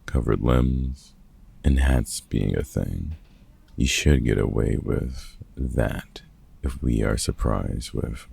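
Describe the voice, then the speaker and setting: A man playing a gruff, protective, middle-aged vampire hunter mentor in a comfort ASMR audio roleplay, gravelly and husky, with a casual, teasing, sweary and halting way of talking. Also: rumbling low, mellow, exaggerated voice. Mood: awkward, supportive.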